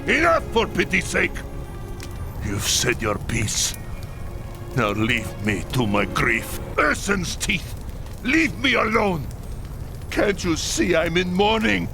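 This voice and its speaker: An actor playing a bitter, vengeful middle-aged clan leader in a video game, heated and dramatic, with a gravelly voice. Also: male voice, high-pitched, big pitch swings, takes it slowly, pauses often, exaggerated voice. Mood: anguished grief. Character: bitter, vengeful human clan leader, grieving husband and father. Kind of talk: video game cutscene acting. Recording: loud music behind.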